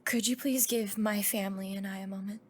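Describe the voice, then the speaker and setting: An actress playing a grief-stricken young fiancée in a screen drama, shaken but insistent. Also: female voice, mid-range voice, fairly even intonation, a little fast, close to an everyday voice. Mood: shaken, resolute. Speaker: lead actress. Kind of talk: naturalistic screen drama acting.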